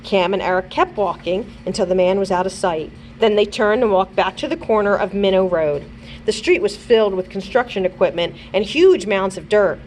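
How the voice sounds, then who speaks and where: A woman's voice: mid-range voice, moderate pace, darkish tone, slight background noise, low-quality recording. A woman reading a children's chapter book aloud expressively, as a librarian would.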